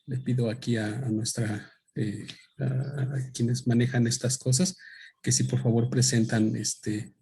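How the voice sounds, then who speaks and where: A man speaks into a microphone at a book presentation. His pitch is mid-range for a man, and he talks in a thoughtful, halting way, often pausing and restarting his sentences. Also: flat delivery, gravelly, mellow, husky, poor audio. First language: Spanish. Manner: thoughtful, hesitant, with many fillers and restarts. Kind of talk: unscripted talk at a book presentation.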